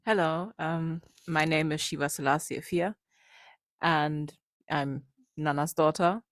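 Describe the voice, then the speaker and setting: Young woman explaining her background, pretty flat intonation, dry close mic. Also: deep voice, measured pace, dry recording. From Germany. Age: young adult. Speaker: political party member introducing herself. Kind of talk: informal spoken self-introduction at a Q&A. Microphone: handheld or passed microphone, close.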